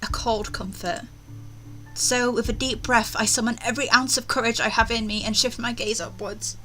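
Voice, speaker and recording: A woman's voice: on the high side, fairly even intonation, moderate pace, sharp tone, rough recording. A woman hosting a gaming video, reading a story aloud theatrically with casual commentary. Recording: faint music behind.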